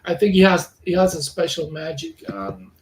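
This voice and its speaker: A man from Cuba, a native Spanish speaker, answering interview questions in English with many pauses and fillers as he searches for words. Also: a little high, very animated, reverberant. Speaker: magazine editor, interview guest. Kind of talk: casual interview.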